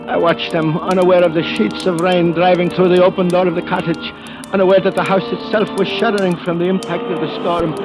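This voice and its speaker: A man's voice: high-pitched, close to an everyday voice, poor audio. An actor playing a frantic, greedy old man in a 1940s radio drama, overwrought and prone to pleading and stammering. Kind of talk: 1940s radio drama acting, first-person narration. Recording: old radio recording with music behind.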